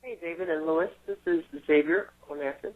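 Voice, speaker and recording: A man's voice: fairly high pitch, a little fast. A man leaving a casual question as a listener's voicemail over a tinny phone line.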